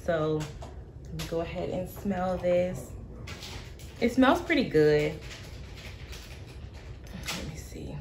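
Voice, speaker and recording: A young woman with a slightly low voice talks through a coffee tasting in her kitchen, relaxed and unhurried, often pausing on fillers. The recording comes from a camera set in front of her, with a faint hiss in the background. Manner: relaxed, thinking aloud with many fillers. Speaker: coffee vlogger. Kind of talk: casual tasting commentary. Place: home kitchen, indoors.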